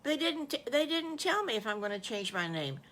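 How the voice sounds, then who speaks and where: Young storybook wolf, male, played by an older woman: bright, lifted pitch, sweet, eager, childlike, prone to repeating himself. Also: sing-song, moderate pace. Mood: happy.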